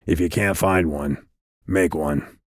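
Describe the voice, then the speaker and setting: A man as a terse, low-voiced fantasy guide. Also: steady tone, slow, no echo, clean studio sound. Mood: matter-of-fact. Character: terse, unflappable male guide through a hidden fantasy world.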